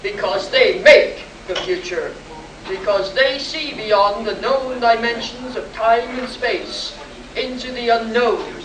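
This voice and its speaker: A woman playing a solemn, portentous announcer in a vintage science-fiction radio play, with measured delivery. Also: on the high side, a bit bright. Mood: dramatic, solemn. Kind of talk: 1950s-style radio drama narration. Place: convention hall, live stage performance. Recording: roomy, distant hall recording with noise.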